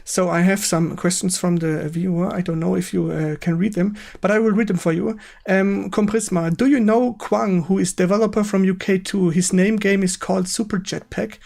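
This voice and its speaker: High-pitched German man hosting, halting English. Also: steady tone, creaky, darkish tone. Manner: halting, searching for English words. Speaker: stream host interviewing a guest. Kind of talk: casual livestream interview.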